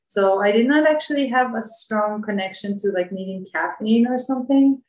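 A woman's voice: fairly high pitch, normal speed. Echoey, thoughtful woman from Bosnia. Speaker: interview guest. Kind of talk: reflective casual interview talk. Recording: echoey room sound.

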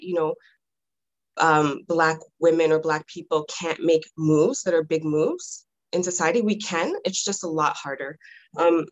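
A woman's voice: low-pitched, muffled recording. A middle-aged woman from Toronto, a podcast guest introducing herself in chatty, casual talk with many ums and laughs. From Toronto, Canada.

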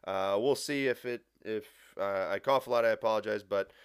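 A man giving a casual history lecture, full of uhs and restarts, his voice a bit nasal and raspy from allergies. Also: lowish voice, moderate pace, no echo, studio quality. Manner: filler-heavy, casual, frequent uhs and restarts. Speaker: history teacher. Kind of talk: recorded lecture.

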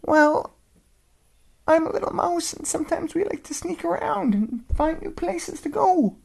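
A man voicing a timid, polite little male mouse in a bedtime story, with a high squeaky voice. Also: lively intonation, a little slow, creaky, darkish tone, full character voice. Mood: pleading, polite. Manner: polite and eager.

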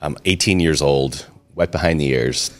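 Very deep-voiced man telling stories. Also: moderate pace, fairly bright tone. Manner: chatty, self-deprecating humor, frequent restarts. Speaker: interview guest, restaurant and brewery owner. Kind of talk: casual storytelling interview.

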